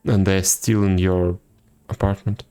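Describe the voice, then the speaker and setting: A man's voice: low-pitched, flat delivery, a little slow, warm tone, clean tone. A man chatting casually with viewers while livestreaming a game, laid-back and teasing. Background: game music.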